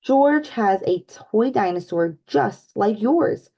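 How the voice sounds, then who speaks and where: A woman voicing a calm, kindly mother rabbit in a storybook read-aloud, speaking in a reasoning way. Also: mid-range voice, slow.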